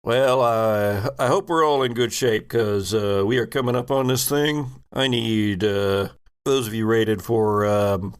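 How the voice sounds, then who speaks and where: A man's voice: lowish voice, unhurried, clean tone, lightly acted. A man voicing a casual, blunt spaceship captain in a tabletop game, hesitating as he gives orders. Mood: businesslike, hesitant. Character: gruff, casual male spaceship captain on the intercom.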